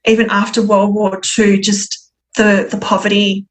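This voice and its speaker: A woman guest telling her personal story in conversation, reflective and candid, with a halting delivery. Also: mid-range voice, level tone. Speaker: podcast guest.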